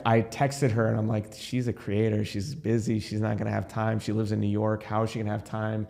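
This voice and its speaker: A man's voice: lowish voice, completely flat delivery, fairly quick, creaky, a little mellow. A man hosting an interview in a studio, chatty and enthusiastic, with rambling introductions. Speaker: rabbi and podcast host. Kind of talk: casual interview chat. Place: recording studio.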